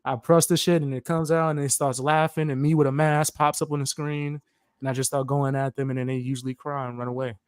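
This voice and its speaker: A man guest on a livestream, talking in a calm, laid-back, joking way. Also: medium pitch, fairly quick, clear, resonant tone, no echo, clean studio sound. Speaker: guest streamer. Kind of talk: casual livestream chat.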